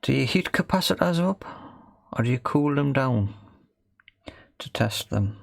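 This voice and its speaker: A man's voice: medium pitch, lively intonation, a little slow, dry recording, clean studio sound. A man gives casual, thinking-aloud commentary on a repair job, tentative and often questioning himself. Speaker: host of a hobby radio repair video. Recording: clear background noise with clicking.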